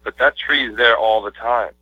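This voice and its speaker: A man from the United States, a poet guest in a casual interview, talking in a reflective, hesitant way with slow pauses. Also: medium pitch, husky, no echo. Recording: dry, dull lo-fi radio audio.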